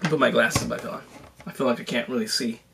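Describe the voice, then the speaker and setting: A hoarse young man casually reviewing a VR headset at home, exclaiming through gameplay. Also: medium pitch, a little fast, slight background noise. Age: young adult. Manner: excited exclamations, chatty. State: hoarse from being sick. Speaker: tech reviewer and VR gamer. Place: small home room with shelves, indoors.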